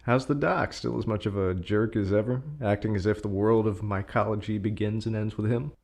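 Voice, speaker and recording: A man's voice: on the low side, fairly even intonation, a little fast, creaky, darkish tone. A man acting a flirtatious, teasing academic colleague in an audio play, with casual, joking banter.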